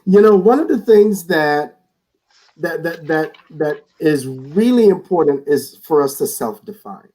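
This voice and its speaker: A man's voice: on the high side, animated, a little slow, mellow. A man speaking as a guest in a reflective conversation over a video call, earnest, often repeating words as he starts a thought.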